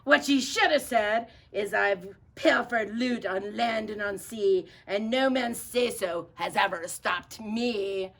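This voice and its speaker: A bossy, blustering captain played by a woman in a children's storybook. The voice is gravelly and forceful, declaiming impatiently in slang. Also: mid-range voice, takes it slowly, hardly any pauses, pitched above the performer's own voice. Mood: scolding. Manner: shouting, declaiming in pirate slang. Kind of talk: children's storybook read-aloud.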